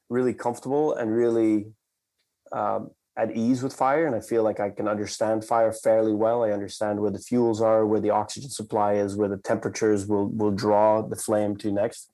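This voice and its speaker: A man from the Netherlands, a company cofounder, telling a story in a measured, reflective way and slowing down for emphasis. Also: fairly low pitch, darkish tone. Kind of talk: conversational storytelling.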